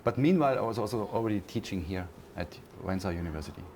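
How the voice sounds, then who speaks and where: A fairly low-voiced man from Austria speaks English with an Austrian German accent, his voice carrying a gravelly fry. He answers interview questions thoughtfully, pausing on fillers and restarting phrases. A faint hiss sits under the recording. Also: expressive. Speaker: university lecturer, interview guest.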